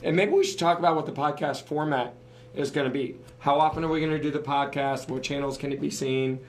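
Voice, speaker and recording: A man's voice: on the high side, steady tone, fairly quick. A man co-hosting a live podcast, losing his voice, talking casually and cracking jokes. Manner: joking, loose, rambling. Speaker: podcast co-host.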